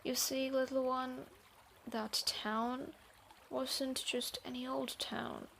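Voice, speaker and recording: A woman playing a guarded, troubled thief in a fantasy audio drama, speaking haltingly and reluctantly softening. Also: high-pitched, flat delivery, vocal fry, a little hiss or hum, exaggerated voice. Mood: troubled, confessing. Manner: hesitant, sighing, halting delivery. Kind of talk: scripted roleplay audio drama.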